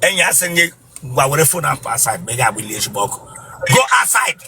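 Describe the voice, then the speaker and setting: A man hosting a comic street interview, teasing passers-by with quick-fire questions. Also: mid-range voice, very animated, gravelly, pushed and bright, soft background music. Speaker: street interviewer and comedian host.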